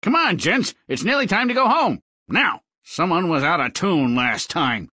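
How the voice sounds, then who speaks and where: Comic game dwarf: bossy ringleader, sharp, forceful and blustering. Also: male voice, sits high, very animated, normal speed, slightly rough, dry recording, clean studio sound, full character voice. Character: bossy, excitable dwarf leader. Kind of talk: exaggerated fairy-tale game acting.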